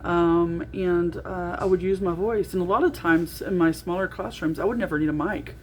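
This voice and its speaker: A middle-aged woman with a naturally loud voice, talking through a casual video diary with lots of ums and restarts. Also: on the low side, normal speed, slight background noise. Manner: rambling, with frequent ums and restarts. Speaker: vlogger.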